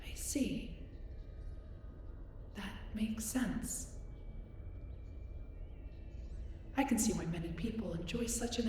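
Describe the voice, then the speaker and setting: A woman's voice: medium pitch, darkish tone, natural voice. A woman playing a curious, polite young female alien in an audio roleplay, speaking in formal, precise, reserved phrasing. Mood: earnest, inquisitive. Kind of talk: scripted roleplay audio drama addressed to listener. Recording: echoey, distant, noisy spaceship ambience.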